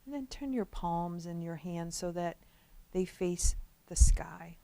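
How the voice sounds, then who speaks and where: A woman leading a guided relaxation as a meditation instructor, speaking gently and without hurry, with long pauses between phrases. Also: on the low side, expressive, creaky.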